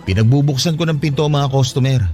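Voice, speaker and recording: A man's voice: medium pitch, quick pace, darkish tone, faint music behind, close to an everyday voice. A man with a Filipino accent playing a poor, defensive father in an emotional, argumentative radio drama. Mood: evasive, irritated. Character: poor, desperate, defensive father struggling to feed his family. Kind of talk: Tagalog radio drama acting.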